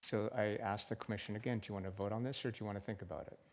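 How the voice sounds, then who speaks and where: A man's voice: fairly low pitch, fairly even intonation, racing, darkish tone. A man chairing a city arts commission meeting, asking firm, direct questions. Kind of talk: public meeting discussion. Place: council chamber.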